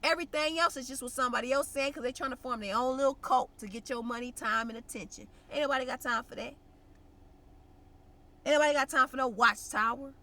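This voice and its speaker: A woman's voice: high-pitched, fast talker, bright, forceful tone. A young woman vlogging in a blunt, slangy, conversational way, sounding congested and nasal. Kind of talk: casual direct-to-camera chat.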